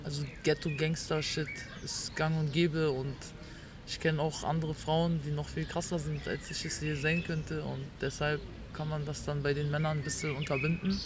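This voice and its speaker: A woman chatting casually in slang mixed with English words, recorded outdoors. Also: low voice. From Berlin, Germany. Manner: slangy, peppered with English words and tag questions. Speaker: rapper, interview guest. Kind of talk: casual chat. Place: outdoors on a picnic blanket in a park. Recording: dull outdoor recording, faint city noise.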